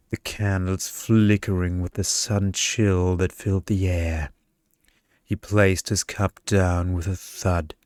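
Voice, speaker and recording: Deep, slow male ASMR storyteller. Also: darkish tone, clean tone, dry recording, studio quality. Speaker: story narrator. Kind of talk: scripted ASMR story narration.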